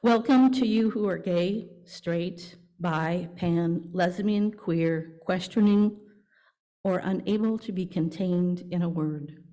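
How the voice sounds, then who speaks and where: A woman worship leader with a lowish, mellow voice addresses a congregation. She reads out her welcome in short, deliberate phrases, leaving pauses between them and taking her time throughout. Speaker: worship leader at a church service. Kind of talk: reading a welcome and announcements to a congregation. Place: church service, speaking to a congregation.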